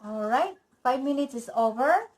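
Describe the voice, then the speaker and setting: A woman's voice: high voice, animated, moderate pace, a little hiss or hum. A woman from the Philippines with a Filipino accent, chatting her way through a cooking demonstration in a home kitchen and saying okay between steps. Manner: chatty, walks through each step, says okay often. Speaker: cooking vlogger.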